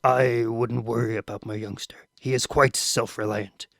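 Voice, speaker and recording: A cool, reserved scholar father with slow, restrained speech, as a male reader plays him. Gravelly and low, with a dry, close sound. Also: fairly even intonation, no room sound, natural voice. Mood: measured.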